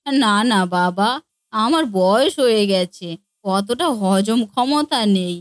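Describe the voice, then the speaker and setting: A woman voicing a suspicious, bossy older mother-in-law in a family comedy cartoon, nagging with rapid questions. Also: medium pitch, animated, dry recording, studio quality. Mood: wary, dismissive. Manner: nagging, quick-fire questioning.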